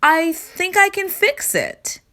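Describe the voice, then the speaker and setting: Storybook mom voiced by a female reader, reassuring but firm, high-pitched, unhurried and motherly. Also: sing-song, bright, forceful tone, full character voice. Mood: tender. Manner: deliberate, motherly.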